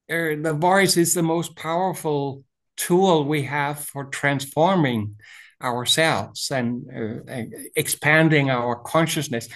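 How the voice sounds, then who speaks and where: Slow, halting Danish-accented man. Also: fairly high pitch. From Denmark. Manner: halting, many restarts and fillers, frequent throat clearing. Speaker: author and voice teacher. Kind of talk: interview over video call. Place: small room indoors. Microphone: video call webcam.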